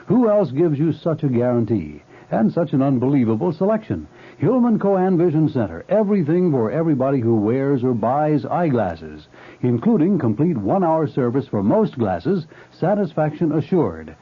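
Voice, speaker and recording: A man's voice: fairly high pitch, sing-song, normal speed, breathy edge. A man announcing a scripted 1970s radio commercial with punchy, emphatic selling. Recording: tinny old radio broadcast with music.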